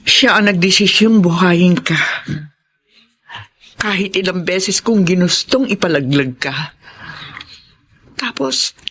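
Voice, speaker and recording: Husky, remorseful Filipino mother. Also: fairly low pitch, heavily acted. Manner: halting, tearful, heavy with guilt. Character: remorseful mother confessing to her abandoned daughter. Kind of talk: Filipino radio drama acting.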